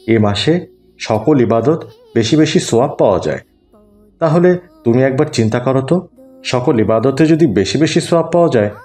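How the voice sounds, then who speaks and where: A man's voice: mid-range voice, darkish tone, lightly acted. A man playing a kindly, pious older neighbour who gives patient, earnest advice in an Islamic cartoon.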